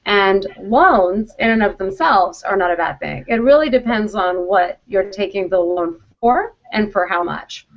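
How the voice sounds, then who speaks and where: A woman's voice: mid-range voice, expressive, measured pace, hardly any pauses. A woman giving an instructional presentation to students, explaining things in an emphatic, directive way. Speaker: college program vice president, presenter. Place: conference call. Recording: dull conference call audio.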